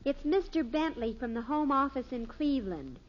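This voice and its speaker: A dramatic American teenage daughter with a high, swooping voice. She is emphatic, quick to indignation and scheming, in 1950s radio sitcom style, heard on a muffled old recording. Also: lively intonation. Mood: brightly polite. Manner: emphatic, exclamatory.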